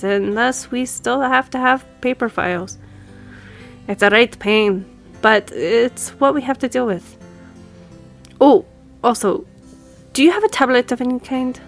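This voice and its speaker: A woman's voice: a little high, lightly acted. A woman playing a friendly, businesslike law firm boss in an audio roleplay, speaking conversationally to the listener. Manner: businesslike, conversational, lightly teasing. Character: brisk, friendly female law firm boss giving instructions.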